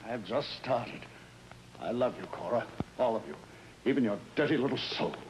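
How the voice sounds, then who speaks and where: A man's voice: medium pitch, animated, normal speed, lightly acted. Man acting a jealous older husband, noisy vintage recording. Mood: menacing, possessive. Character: jealous, possessive older husband, menacing toward his wife. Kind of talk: old-time dramatic acting. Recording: noisy vintage TV drama soundtrack.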